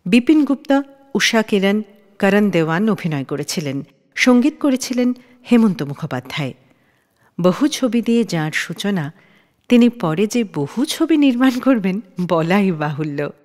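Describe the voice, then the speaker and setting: A woman's voice: mid-range voice, sing-song, unhurried, darkish tone. A woman telling a scripted story as a radio show host. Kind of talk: scripted radio storytelling narration about film history. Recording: long reverb on the voice.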